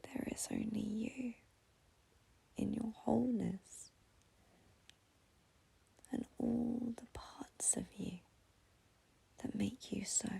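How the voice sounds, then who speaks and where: A woman leading a guided meditation in a slow, soothing, gentle half-whisper, breathy and husky, with long pauses between phrases. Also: a little high, rough recording.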